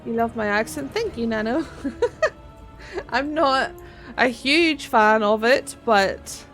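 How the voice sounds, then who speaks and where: A woman with a rural Northern Irish accent chatting on a gaming livestream, swearing freely and laughing often as she reads chat. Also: on the high side. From Northern Ireland. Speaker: streamer.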